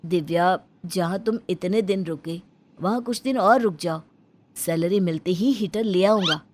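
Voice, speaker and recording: A woman's voice: lowish voice, measured pace, a little mellow, slight background noise, voice pushed down, lightly acted. A woman voicing a poor, aggrieved young husband in a family story, speaking firmly and reproachfully. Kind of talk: dramatised family story acting.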